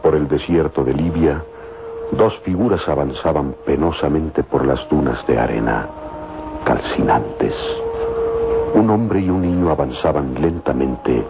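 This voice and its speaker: A man with an extremely deep, gravelly voice narrates a radio serial solemnly and theatrically. The old recording is tinny and phone-like, with loud music behind him.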